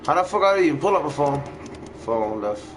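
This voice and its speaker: A man's voice: fairly high pitch, sing-song, a little fast. A man hosting a gaming livestream, chatting casually in slangy, profane language. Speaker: game livestream host. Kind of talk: casual gaming stream chat. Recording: noisy home stream with game ambience.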